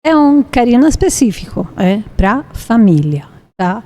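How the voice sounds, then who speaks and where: A woman's voice: fairly high pitch, big pitch swings, mellow. An Italian-born woman in her fifties with an Italian accent, speaking Portuguese as a second language. She is a podcast guest sharing a personal testimony and often checks in with a questioning "tá?". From Italy. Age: 50s. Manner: unhurried, often ends sentences with a checking "tá?". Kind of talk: interview testimony.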